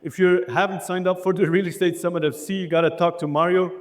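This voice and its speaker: Man, Belgian accent, fairly high voice, enthusiastic keynote speaker, rallying business presentation, long hall reverb. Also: fairly even intonation, fast. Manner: enthusiastic, rallying the audience with questions. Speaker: keynote speaker, landscape architect. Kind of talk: motivational business presentation to a live audience. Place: indoor event hall, speaking on stage.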